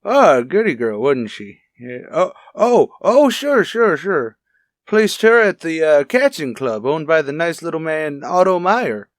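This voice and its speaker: A man playing a defensive, fast-talking union official with hedging, repetitive patter in a radio detective drama. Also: on the high side, sing-song, no echo, clean studio sound. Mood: nervous recognition. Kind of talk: old-time radio detective drama acting.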